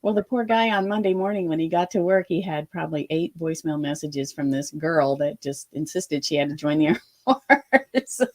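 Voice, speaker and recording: A woman in her fifties from Ohio, a guest telling her life story in an interview, animated and full of quoted asides. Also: deep voice, normal speed. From Ohio, USA.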